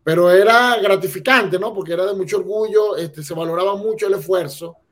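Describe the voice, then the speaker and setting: A man with a Venezuelan accent speaks as an interview guest, telling his story with plenty of restarts and fillers. His voice sits slightly high for a man. He sounds engaged and earnest. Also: fairly quick, a bit bright. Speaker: guest, entrepreneur. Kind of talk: casual interview.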